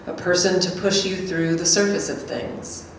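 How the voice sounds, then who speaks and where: A woman's voice: on the low side, flat delivery, moderate pace, natural voice. A woman performing a wry, teasing, blunt character in a staged literary reading. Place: small gallery room before an audience. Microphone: stand microphone, heard from a distant camera. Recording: echoey room, distant mic, faint noise.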